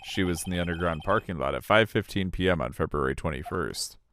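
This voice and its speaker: A man's voice: sits low, quick pace, fairly bright tone, slight background noise. A man voicing the defense lawyer in a fan voice-over of a courtroom game, earnest and hesitant.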